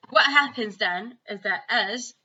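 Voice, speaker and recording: Bright, forceful woman reading a story. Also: a little high, a little slow, breathy edge, lo-fi sound. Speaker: primary school teacher. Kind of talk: expressive children's story read-aloud.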